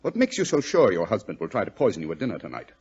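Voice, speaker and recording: Sardonic British sleuth, self-assured, animated intonation with a husky edge, old radio drama. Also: male voice, deep voice, rapid-fire, full character voice. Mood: wry, pondering. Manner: crisply ironic, pondering, self-assured. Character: brilliant, dry, sardonic gentleman detective. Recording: dull lo-fi old radio recording.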